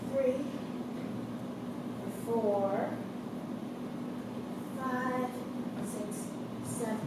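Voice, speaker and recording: A woman's voice: high voice, far from the mic. An actress playing an anxious, modest young woman who performs in a circus, speaking hesitantly on stage. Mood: anxious, wistful. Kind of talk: theatrical stage acting. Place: theater stage. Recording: echoey noisy theater from afar.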